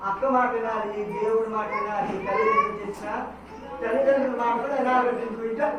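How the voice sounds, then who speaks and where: A woman hosting a children's puppet show as a Bible teacher, instructing and gently scolding. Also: a little high, fairly even intonation, fast talker. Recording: echoey hall from far off, noisy.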